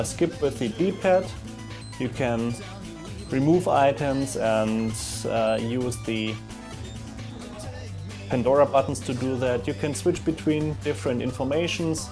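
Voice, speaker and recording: Man casually demoing software, music behind. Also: medium pitch. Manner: halting, thinking aloud as he goes. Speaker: software demonstrator. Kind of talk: casual screen demo narration. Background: music from audio players being demonstrated.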